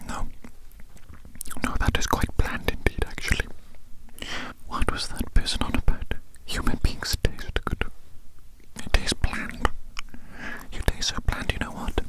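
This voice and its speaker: A performer whispering as a polite, greedy alien in an ASMR roleplay, coaxing and repeating phrases in a breathy, unvoiced voice. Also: a little slow, long pauses, close to an everyday voice, rough recording. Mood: mildly disappointed, fussy. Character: polite, greedy alien eager to eat a human.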